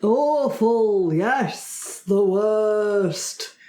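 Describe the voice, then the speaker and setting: A woman's voice: mid-range voice, extremely slow, mellow. A woman roleplaying in character in a tabletop game, speaking in short pronouncements. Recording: roomy echo.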